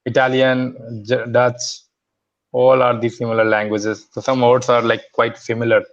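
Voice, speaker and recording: A man's voice: medium pitch, flat delivery, moderate pace, highs cut off. A man from India with an Indian accent, practicing English in a casual video-call conversation, friendly and hesitant with frequent restarts. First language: Hindi. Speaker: English learner.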